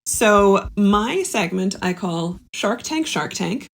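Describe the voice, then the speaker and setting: A woman's voice: mid-range voice, lively intonation, slow pace, gravelly. A woman chatting casually as a comedy podcast guest, hesitating and thinking aloud. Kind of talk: casual chat.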